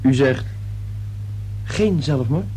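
A man's voice: medium pitch, expressive, slow pace, mellow. An actor playing an uneasy, wary middle-aged husband and father in a radio drama. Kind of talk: Dutch radio drama acting. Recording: noisy old radio recording with hum.

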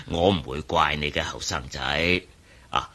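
An actor playing a genial older male professor in a Cantonese radio drama, with a Hong Kong accent and a gravelly, avuncular delivery. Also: extremely low pitch, wildly swooping pitch, slow pace, pushed and bright, heavily acted. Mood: amused, genial. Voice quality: gravelly, husky.

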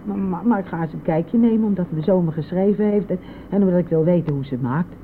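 A woman's voice: on the low side, fast talker, close to an everyday voice. An actress playing a modest, concerned middle-aged mother-in-law in a radio play, speaking in a hesitant, earnest, plain way. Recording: noisy, phone-like old radio recording.

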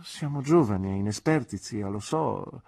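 A man's voice: fairly low pitch, expressive, moderate pace, vocal fry, darkish tone. A man voicing an earnest young man in love, protesting fervently and stumbling over his words. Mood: pleading. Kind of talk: audiobook character voice.

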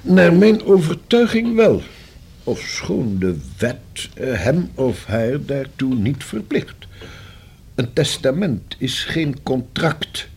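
Give this voice to a discreet, pedantic male notary in a radio play. His pitch is average for a man, and the tone is a little husky. He sets out legal matters in long, careful, formal sentences. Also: darkish tone. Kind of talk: Dutch radio play acting.

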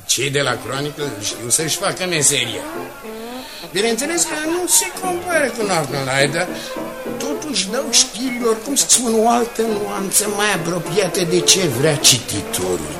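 A man's voice: high voice, huge pitch swings, over loud music, heavily acted. An actor playing a smug, condescending older board chairman in a radio drama, with a patronising and theatrical delivery. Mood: cool, dismissive. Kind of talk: Romanian radio drama acting.

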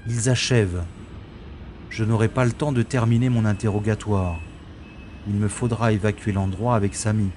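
A man playing a sardonic special agent in a science-fiction audio drama, with a mocking, theatrical and deliberate delivery. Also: fairly low pitch, steady tone, a little mellow, a little hiss or hum, natural voice. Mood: musing, scornful. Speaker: lead actor and narrator of audio drama. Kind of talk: science-fiction audio drama acting with inner-monologue narration.

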